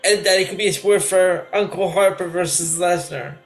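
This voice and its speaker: A man co-hosting a casual wrestling podcast, relaxed and chatty. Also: sits high, normal speed, over loud music. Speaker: podcast co-host.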